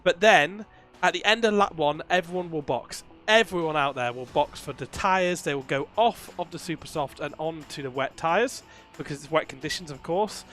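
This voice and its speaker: A man commentating a sim race, chatty and quick to laugh, his voice worn from a cold. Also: fairly high pitch, fairly bright tone, soft background music.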